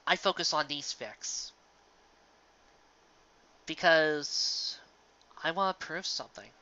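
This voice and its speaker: Earnest fan-fiction reader: unhurried, emphatic, sentimental commentary with dramatic pauses, muffled lo-fi home sound. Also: mid-range voice, pushed and bright. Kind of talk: earnest spoken commentary to an audience. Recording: dull lo-fi home recording.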